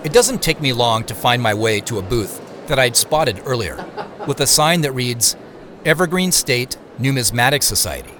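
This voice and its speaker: Guy acting a dry, weary lead in an audio drama telling his own story, lots of pitch movement, faint traffic noise. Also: lively intonation, moderate pace, fairly bright tone, lightly acted. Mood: measured, watchful. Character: weary, dryly joking man narrating his own covert quest. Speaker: narrator and lead character. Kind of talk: first-person audio drama narration and dialogue.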